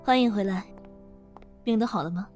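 A woman acting a composed, caring office boss in an audio drama, with an assured, gently teasing manner. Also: mid-range voice, moderate pace, soft background music, lightly acted. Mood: calm, caring.

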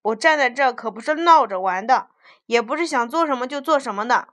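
Stern signboard that scolds and commands, read by a woman; loud and indignant. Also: high voice, expressive, a little fast. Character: stern bossy signboard giving orders.

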